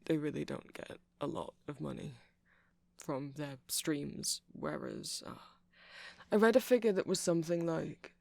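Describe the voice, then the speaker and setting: A man playing a nerdy, chatty vampire in an ASMR roleplay, rambling softly, earnestly and hesitantly about his interests. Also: fairly high pitch, animated, measured pace, gravelly, dry recording.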